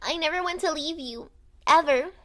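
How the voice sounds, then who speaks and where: A woman voicing an affectionate, teasing young female villain in a fan fiction reading, with a cooing, drawn-out delivery. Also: high-pitched, bright, forceful tone, slight background noise, pitched above the performer's own voice. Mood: tender.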